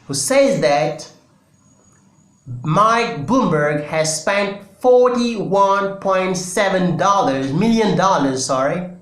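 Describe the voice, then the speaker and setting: A man from Senegal with a Senegalese accent, his first language Wolof, giving earnest solo political commentary with deliberate pauses and restarts. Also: fairly high pitch. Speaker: political commentary channel host. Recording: echoey room.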